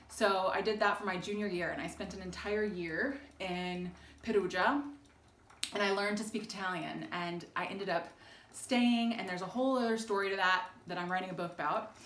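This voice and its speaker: A woman's voice: mid-range voice, moderate pace, a bit bright, reverberant. A woman in her forties from Texas, chatting casually to camera in her home kitchen with frequent ums and easy laughs. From Texas, United States. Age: 40s. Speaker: alumna sharing her story. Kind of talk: casual home video chat. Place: home kitchen in an old English house. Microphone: camera held by her husband.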